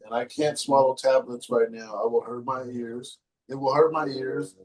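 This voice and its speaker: Male host, mid-range and mellow, laid-back reader. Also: medium pitch, poor audio. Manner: laid-back, reads in a halting steady way. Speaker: podcast host. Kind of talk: casual chat and reading a listener post aloud.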